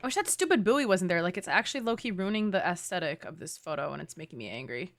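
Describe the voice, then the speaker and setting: A woman's voice: on the low side, lively intonation, fast talker. A young adult woman giving casual, slangy, joking commentary while livestreaming a game.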